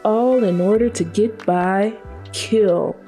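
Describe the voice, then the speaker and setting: A woman's voice: medium pitch, slow, warm tone, faint music behind. A woman reading scripted, dramatic introductory narration for a motion comic.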